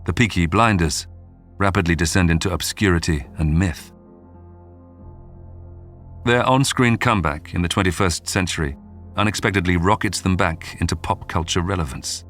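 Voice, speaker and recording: A man hosting a history programme, reading scripted documentary narration in a measured, polished storytelling way. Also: low voice, fairly bright tone, faint music behind. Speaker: podcast narrator and host.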